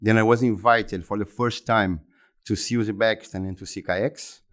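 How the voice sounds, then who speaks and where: A man in his fifties from Brazil, speaking English with a Brazilian Portuguese accent as an interview guest, talking haltingly and often repeating words and restarting phrases. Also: fairly low pitch, steady tone, fairly bright tone. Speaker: interview guest, exhibition center executive. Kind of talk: conversational interview answers.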